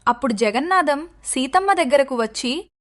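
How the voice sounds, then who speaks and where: High-pitched woman storyteller. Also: lively intonation, moderate pace. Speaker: narrator. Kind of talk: scripted children's moral-story narration.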